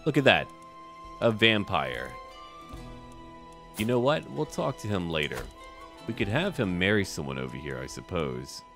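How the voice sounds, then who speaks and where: Male gaming host, low register, very varied intonation, offhand delivery, talks to the on-screen characters. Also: big pitch swings, moderate pace, pauses often, fairly bright tone, soft background music. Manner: talks aloud to game characters. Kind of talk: casual gameplay commentary.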